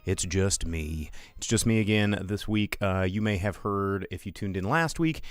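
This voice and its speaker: A man's voice: sits low, moderate pace, dry recording, studio quality. An American man hosting a casual live stream podcast, chatting in a rambling, informal way that often interrupts itself. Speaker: podcast host.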